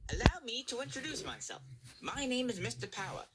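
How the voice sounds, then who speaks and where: A man voicing a rude, pushy American railway controller, raised high above his own voice, with a heavy American accent. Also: big pitch swings, brisk, very noisy. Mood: brash. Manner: brash, dismissive, high-pitched bluster.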